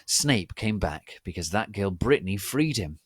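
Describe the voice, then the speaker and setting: A man's voice: on the low side, expressive, measured pace, husky, dry recording. A man voicing a worried adult female witch professor in an earnest, urgent manner.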